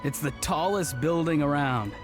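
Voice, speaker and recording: A man's voice: on the high side, normal speed, over music, natural voice. A man voicing an earnest, upbeat and cheeky young friend in a video game. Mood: breezy.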